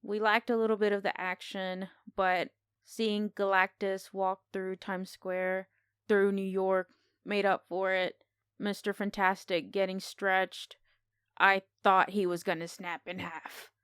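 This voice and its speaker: A woman's voice: medium pitch, flat delivery, measured pace, a bit bright, clean tone, no room sound, studio quality. A woman co-hosting a podcast, talking casually as she gives her review of a film.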